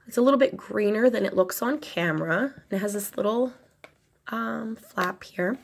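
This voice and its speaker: A woman's voice: mid-range voice, normal speed, creaky. A young woman with a cold, her voice nasal and a little crackly, chatting casually and rambling as she unboxes a tarot deck for her channel. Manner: rambling, many ums and restarts.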